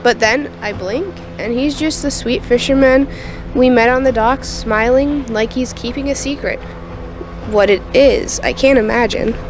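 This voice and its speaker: A woman's voice: a little high, unhurried, music in the background, poor audio. A woman playing a teenage girl patient in a radio drama, confiding her eerie nightmares in a colloquial, youthful way. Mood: uneasy. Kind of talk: first-person radio drama narration and dialogue.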